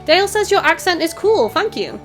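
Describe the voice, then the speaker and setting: A woman's voice: extremely high pitch, big pitch swings, fairly quick, fairly bright tone, soft background music. A woman with a Northwest English Scouse accent touched by Welsh, chatting casually and reading out chat as a live gaming host in a raised, projecting voice. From Northwest England. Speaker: livestream host, gamer. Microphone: desktop USB microphone.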